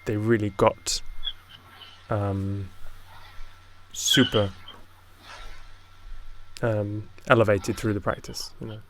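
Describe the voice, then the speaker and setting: A man interviewing in a casual conversation, deep-voiced, soft-spoken and hesitant, often trailing off mid-thought. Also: little pitch movement, takes it slowly, pauses often, a little hiss or hum.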